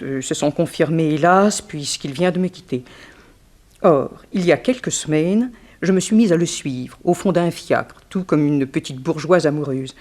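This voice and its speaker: A woman's voice: lowish voice, a little mellow. Wronged upper-class woman, formal, precise, genteel, guarded dignity, 1960s French radio drama.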